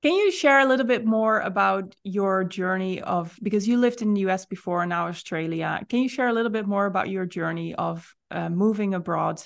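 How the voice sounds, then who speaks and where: A woman's voice: medium pitch, vocal fry. A woman hosting a podcast, speaking in a conversational interview style. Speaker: podcast host. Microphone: remote call.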